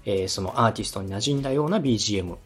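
A man hosting a podcast, politely and methodically giving encouraging production advice in a slightly husky voice. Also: on the low side, lively intonation, racing, faint music behind. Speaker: podcast host and radio director giving feedback.

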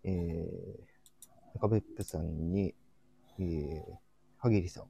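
A man hosting a casual live audio chat in a soft-spoken, hesitant way, with a breathy, husky voice. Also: sits low, steady tone, slow pace, highs cut off, a little hiss or hum. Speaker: live show host.

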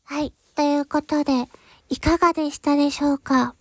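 A woman's voice: high-pitched, fairly even intonation, fairly quick, darkish tone. A woman hosting her own audio channel, making a casual but polite announcement to listeners in hesitant phrases. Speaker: channel host and voice actor.

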